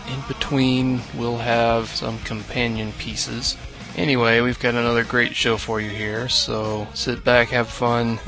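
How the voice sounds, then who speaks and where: A man hosting a podcast, giving a short friendly introduction to the episode. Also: mid-range voice. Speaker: podcast host.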